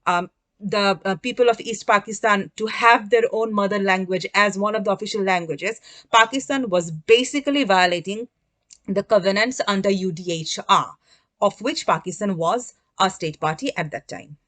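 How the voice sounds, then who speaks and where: A woman's voice: medium pitch, moderate pace, fairly bright tone, dry recording. A woman speaking in a documentary interview, explaining human rights in a formal, academic way.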